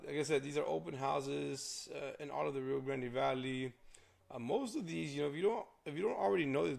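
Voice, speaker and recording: A hoarse young man, a realtor chatting loosely through a casual vlog walkthrough with lots of fillers. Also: medium pitch, darkish tone. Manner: rambling, filler-heavy. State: hoarse from a cold.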